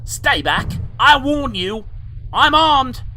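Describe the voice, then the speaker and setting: Man acting a high-strung, panicky Victorian gentleman. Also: animated, takes it slowly, exaggerated voice. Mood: panicked. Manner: shouted, high-strung, theatrical exclamations. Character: panicky, indignant Victorian gentleman exploring a haunted mansion. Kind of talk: radio theater horror acting. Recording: noisy recording with loud ambient rumble.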